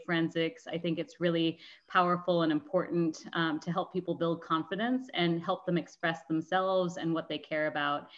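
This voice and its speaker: A woman in her thirties from the San Francisco Bay Area speaks as a guest, clear and articulate, with easy laughter. Her voice sits fairly low. The recording is dry and a little muffled. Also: a bit bright, no echo. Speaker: nonprofit founder, guest. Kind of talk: interview answers. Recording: dry, dull lo-fi audio.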